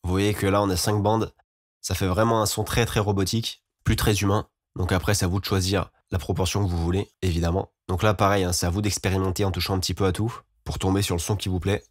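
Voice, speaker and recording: Guy doing a music software tutorial, deep voice, informal, really dry close sound. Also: flat delivery, fast, no room sound. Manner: informal, explanatory. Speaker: music production tutorial host.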